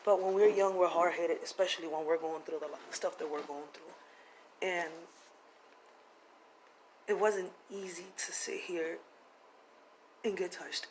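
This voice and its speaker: A woman's voice: lowish voice, fairly even intonation, a little slow, long pauses, a little hiss or hum, rough recording. A woman telling her own hard life story to her viewers in a plainspoken, confessional way, using casual slang. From Puerto Rico, raised in Philadelphia. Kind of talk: personal testimony to camera.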